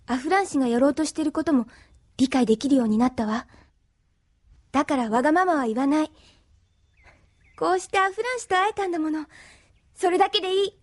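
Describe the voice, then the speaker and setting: Woman acting a high-voiced devoted young woman. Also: brisk, long pauses, close to an everyday voice. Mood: tender, wistful. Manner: emotive, pleading delivery. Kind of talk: Japanese anime audio drama acting. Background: background music.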